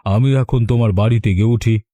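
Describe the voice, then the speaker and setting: A genial cartoon uncle who loves his food , voiced by a man. The male voice is fairly low, very mellow and dry, with a leisurely, friendly delivery. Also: steady tone, a little fast, no room sound, close to an everyday voice. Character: genial food-loving rich uncle visiting from the city. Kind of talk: Bengali cartoon dialogue.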